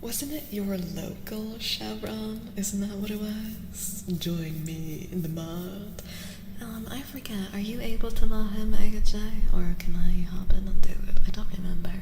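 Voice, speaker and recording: A woman livestream host doing soft-spoken ASMR, chatting casually and soothingly with viewers, often half whispering and repeating short phrases. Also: medium pitch, vocal fry, reverberant, noisy background. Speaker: livestream host reading tarot for chat. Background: music.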